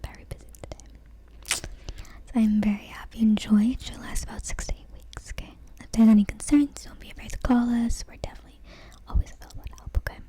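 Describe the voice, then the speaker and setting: A woman role-playing a friendly nail salon technician, whispering softly close to the mic and slowly repeating words. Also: on the high side, fairly even intonation, natural voice. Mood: gentle. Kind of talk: ASMR whispered roleplay.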